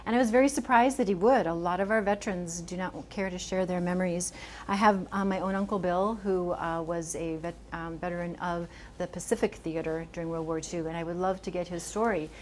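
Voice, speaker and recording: A soft-spoken woman in her sixties giving a gentle, chatty library talk as an author, often laughing a little. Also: fairly low pitch, steady tone, brisk, slight background noise. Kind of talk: informal public talk. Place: library meeting room.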